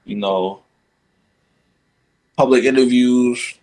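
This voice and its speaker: A man's voice: mid-range voice, fairly even intonation, takes it slowly, darkish tone, rough recording. A young man from Guyana, a podcast guest, chatting casually and padding his talk with "you know what I'm saying.". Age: young adult. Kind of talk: casual conversation.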